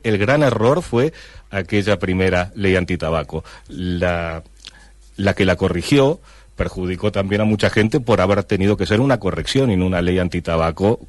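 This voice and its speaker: A man's voice: low voice, a little mellow, dry recording. A middle-aged man from Argentina, a cartoonist guest answering radio interview questions with many fillers and restarts.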